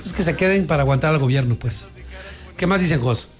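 A man's voice: fairly high pitch, brisk, faint music behind. A man with a Mexican accent hosting a radio talk show, chatting casually about the news with co-hosts.

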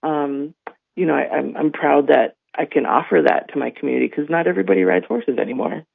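A man with a slightly raised, somewhat hoarse voice tells stories in a radio interview, leaning on fillers and checking in with "right?". The sound is dry and thin, like a phone line. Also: a little high, fairly quick, no echo. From North Dakota. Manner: warm storytelling with many fillers and "right?". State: voice a little hoarse. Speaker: guest. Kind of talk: radio interview, casual talk.

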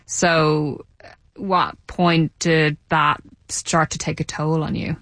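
A woman radio presenter hosting a broadcast interview, asking measured, probing questions. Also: sits low, little pitch movement, a bit bright, dry recording. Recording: dry studio sound.

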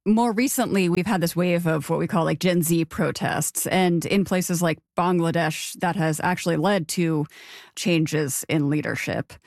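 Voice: medium pitch, measured pace, no room sound, studio quality. A radio host interviewing a guest and presenting the program in a conversational manner. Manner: curious, conversational questioning.

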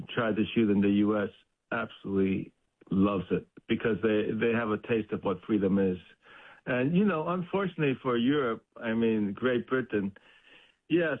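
A man talking casually as a guest on a radio interview. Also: medium pitch. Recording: thin, narrow phone-like line.

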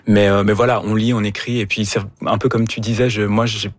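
Homme, écrivain en entretien, ton familier et hésitant, intonation plate. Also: male voice, lowish voice, little pitch movement, fairly quick, no echo. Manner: casual, hesitant, many fillers. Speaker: guest author. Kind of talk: interview answers.

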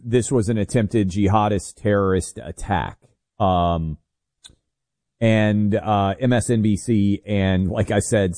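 A man's voice: on the low side, dark tone, no room sound, studio quality. A man co-hosting a political talk radio show, making pointed critical remarks with frequent fillers.

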